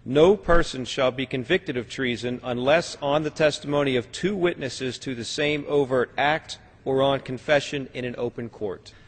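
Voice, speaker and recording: A man's voice: mid-range voice, normal speed, hardly any pauses, slight background noise. A man reads a legal text aloud in a formal manner, as a legislator. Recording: reverberant large legislative chamber.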